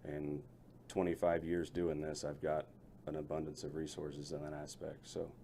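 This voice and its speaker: A man's voice: very deep voice, monotone, moderate pace, creaky, warm tone, a little hiss or hum. A middle-aged man, a local business owner, chatting in a radio interview in a folksy, rambling way, often restarting his sentences. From Lebanon, Missouri area. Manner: folksy, rambling, self-correcting.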